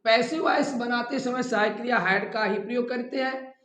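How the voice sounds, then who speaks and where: A man's voice: extremely high pitch, fairly even intonation, normal speed, fairly bright tone. A man teaching a grammar lesson in a didactic way, repeating phrases as if for dictation. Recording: echoey, reverberant room.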